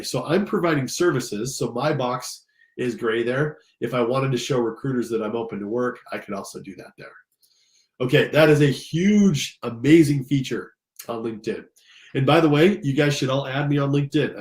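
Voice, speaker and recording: Congested American man in an echoey room. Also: medium pitch. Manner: casual, enthusiastic, addresses listeners directly. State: slightly congested from allergies. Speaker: career coach and instructor. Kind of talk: informal screen-share tutorial. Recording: roomy, echoing sound.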